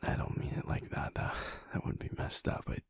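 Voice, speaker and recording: A man playing a soft, unsure young werewolf boyfriend in an intimate audio roleplay, gentle and hesitant, stammering in a breathy, husky voice. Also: brisk, no echo, lightly acted. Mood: shy, earnest.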